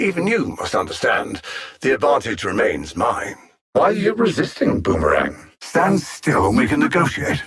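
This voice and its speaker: An actor playing a cold, arrogant alien android supervillain in a video game, speaking precisely with pompous, condescending menace. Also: male voice, mid-range voice, a bit bright, husky, exaggerated voice. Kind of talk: scripted video game villain dialogue.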